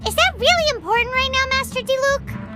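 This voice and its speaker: A woman voicing a tiny, cheeky, childlike fairy companion in a video game, with a squeaky voice. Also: fairly quick, loud music behind the voice. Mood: indignant. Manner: cheeky, speaks of herself in third person. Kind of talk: video game character acting.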